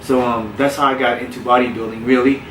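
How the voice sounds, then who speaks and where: A man who grew up in South Florida, a personal trainer telling his story in a relaxed, candid way with frequent restarts. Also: mid-range voice, quick pace, a little hiss or hum. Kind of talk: casual podcast interview. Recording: roomy, distant mic with faint ambience.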